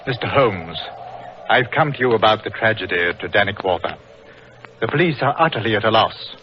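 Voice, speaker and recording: An actor playing a big, guarded, hot-tempered explorer with a stiff, formal manner, in 1940s radio drama. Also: male voice, fairly low pitch, animated, brisk, natural voice. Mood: earnest. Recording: dull, noisy old radio recording.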